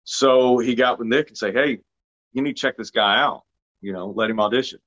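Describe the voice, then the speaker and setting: A man's voice: medium pitch, fairly quick, a bit bright. A man from Arkansas, an actor being interviewed, talking casually with frequent restarts and self-deprecating remarks. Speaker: actor guest. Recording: dull lo-fi sound.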